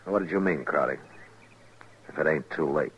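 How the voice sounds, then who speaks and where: An actor playing a stern, regretful American frontier marshal in radio western style, with a gravelly voice. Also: male voice, rumbling low, normal speed, fairly bright tone, full character voice. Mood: firm. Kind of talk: 1950s radio western acting. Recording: dull vintage radio recording.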